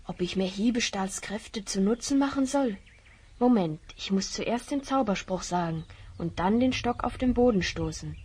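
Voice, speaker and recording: A woman playing a level-headed fifteen-year-old boy adventurer, earnest and husky, in a fantasy audio drama. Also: mid-range voice, expressive, normal speed, darkish tone. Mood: resolute.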